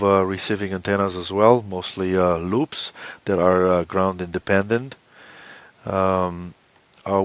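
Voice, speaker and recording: A man answering interview questions as an expedition co-leader, explaining plans in a halting way with very frequent uhs. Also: low voice.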